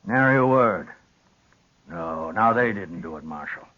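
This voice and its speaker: An American actor playing a stubborn, plain-spoken old rancher with a rural western accent in a 1950s radio western. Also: male voice, low voice, expressive, unhurried, bright, forceful tone, exaggerated voice. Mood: defensive. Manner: plain-spoken, folksy, defiant. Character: stubborn, blunt old rancher, protective father. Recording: dull vintage radio recording.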